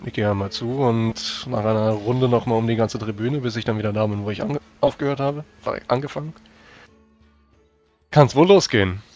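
A man's voice: medium pitch, a little fast, darkish tone. A man playing a tabletop role-playing game, casually describing and speaking his character's actions. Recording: music under the voice. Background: background music.

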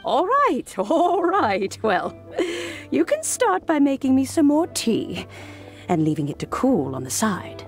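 Old granny, slow and lilting, fond teasing tone, music bed. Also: female voice, high voice, wildly swooping pitch, full character voice. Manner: warm, fond, amused. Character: kindly old grandmother, gently teasing. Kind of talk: video game voice acting.

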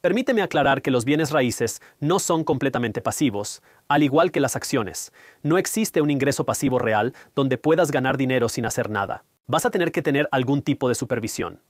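A man whose first language is Punjabi explains money matters straight to the listener, at an ordinary pitch for a man. He speaks close to the mic, and the sound is dry and dead, with no room echo. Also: expressive, normal speed. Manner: direct, explanatory, addresses viewer. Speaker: finance educator. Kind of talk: whiteboard finance lesson, dubbed voice-over.

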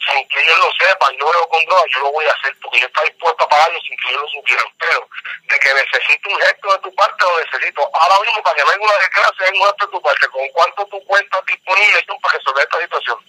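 A man talks fast and pressing, piling on threats as he keeps checking that he is understood. His voice is very bright and slightly high. It comes through a tinny, dry phone line. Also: breathy edge, no echo. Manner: pressuring, intimidating, repeats "me entiendes". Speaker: phone scam caller. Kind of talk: phone call. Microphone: telephone.

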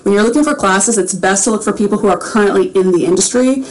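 A woman's voice: mid-range voice, fast talker, rough recording. Chatty woman rambling on a livestream. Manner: rambling, chatty, laughs between phrases. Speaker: voice actor answering fan questions. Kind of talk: casual livestream Q&A. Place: small home room with a framed picture behind.

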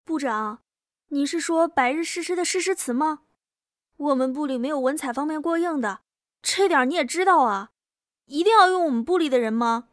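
Woman acting high-pitched bossy schoolgirl. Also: lively intonation, brisk, dry recording, studio quality. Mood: matter-of-fact, friendly. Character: lively, bossy, teasing high school girl student. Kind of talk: amateur Chinese audio drama acting.

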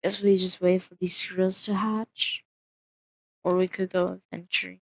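A woman's voice: on the low side, little pitch movement, measured pace, vocal fry, no room sound, dull audio. A woman casually narrating her solo game roleplay, musing aloud with hesitant fillers.

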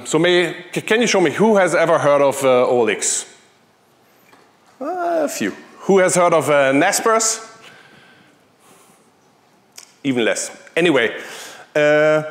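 German man giving a keynote on stage. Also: on the high side. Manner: conversational, with frequent fillers and restarts. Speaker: conference speaker, tech executive. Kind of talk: conference keynote talk. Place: large concert hall stage with audience. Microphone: headset mic.

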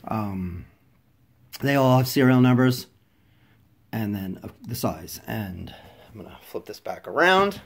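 A man's voice: lowish voice, expressive, unhurried, creaky, pauses often. Man with a cold-stuffed voice, chatty home review vlogger, filmed on a phone, easygoing with frequent fillers. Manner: chatty, many ums and restarts, drops softer for close-up details. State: congested from a head cold. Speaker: fashion vlogger. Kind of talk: casual product review. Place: indoors at home, filming over a carpeted floor.

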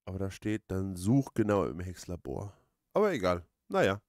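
A man with a fairly deep voice chats through a video game. He rambles, hesitates and thinks aloud in a casual way. The sound is dry, with no room to it. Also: big pitch swings, moderate pace, darkish tone, dry recording. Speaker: let's player, host. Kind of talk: casual let's play commentary.

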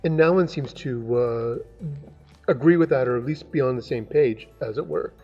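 An older man, a pastor, giving a relaxed, conversational devotional and prayer into a webcam in a large indoor room. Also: medium pitch, normal speed, soft background music. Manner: conversational, relaxed, musing with asides. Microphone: webcam, close framing. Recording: dull lo-fi webcam sound.

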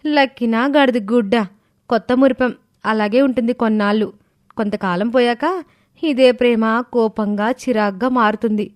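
A woman's voice: a little high, moderate pace, dry recording, close to an everyday voice. A woman playing suspicious, sly young women in a cartoon family story. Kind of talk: scripted cartoon dialogue.

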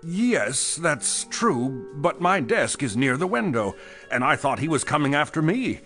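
Nervous, lively-pitched frightened businessman. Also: a little slow, soft background music, heavily acted. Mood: frightened, agitated. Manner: nervous, hesitant, defensive. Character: frightened, shaken male chemical company boss under police questioning. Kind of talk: pulp thriller audio drama acting.

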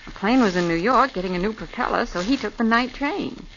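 A woman's voice: a little high, a little fast, a bit bright. An actress playing a practical woman assistant in a 1940s radio drama, matter-of-fact and reassuring. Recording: dull old radio recording with faint hiss.

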